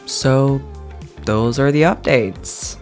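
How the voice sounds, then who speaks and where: Man, podcast host, earnest, enthusiastic, mid-pitched voice. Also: very slow, a little mellow, soft background music. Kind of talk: warm scripted podcast intro.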